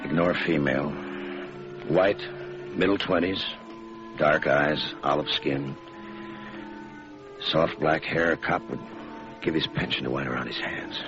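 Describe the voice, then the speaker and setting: Deep-voiced, hard-boiled police lieutenant. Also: male voice, unhurried, long pauses, music in the background. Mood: dry narration. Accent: American. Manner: terse, deadpan, clipped. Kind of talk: 1940s-50s radio noir acting and narration. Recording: muffled, noisy old radio recording.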